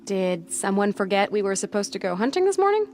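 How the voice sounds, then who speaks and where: An actress playing a headstrong young outcast huntress in a video game, wry and questioning. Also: female voice, mid-range voice, big pitch swings, fairly quick, darkish tone, slight background noise. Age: young adult. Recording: music under the voice. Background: music.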